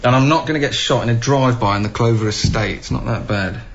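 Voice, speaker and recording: An actor playing an earnest, harried young British council housing officer in a naturalistic radio drama. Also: male voice, medium pitch, fast talker, gravelly, breathy edge. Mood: wry, weary. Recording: muffled radio recording, noisy ambience.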